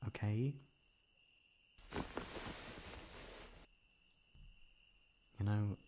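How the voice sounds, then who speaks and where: A man's voice: deep voice, mellow, slight background noise. A man playing a sweet, teasing boyfriend, tender and playfully exasperated, in an intimate ASMR roleplay. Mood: tender, hushed. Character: sweet teasing boyfriend caring for tipsy girlfriend.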